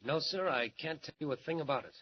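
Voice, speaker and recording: An actor with an American accent playing a plain-spoken, baffled ship captain in a 1940s radio drama, emphatic and folksy. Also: male voice, a little high, fast, slightly rough. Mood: puzzled. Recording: muffled, noisy 1940s radio recording.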